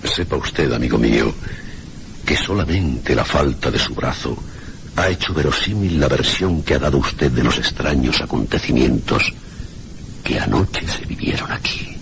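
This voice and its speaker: An actor playing a stern, formal investigator in a radio mystery drama. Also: male voice, a bass voice, expressive, moderate pace, a bit bright, a little hiss or hum, heavily acted. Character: stern, formal male investigator questioning a witness. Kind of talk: Spanish radio drama acting.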